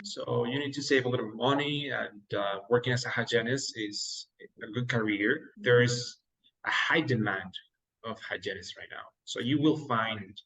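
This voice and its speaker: A man's voice: mid-range voice, normal speed, sharp tone, poor audio. A young man from Mexico, Spanish his first language, explaining his path step by step in a casual interview. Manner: earnest, explains step by step, occasional laughs. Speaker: interview guest, dentist. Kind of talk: casual interview, giving advice.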